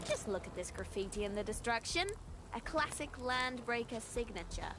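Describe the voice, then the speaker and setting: A woman's voice: on the high side, lively intonation, moderate pace, background noise, lightly acted. An actress playing a resourceful, composed young woman agent with a wry, instructing manner in a video game. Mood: observant. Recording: game music behind the voice.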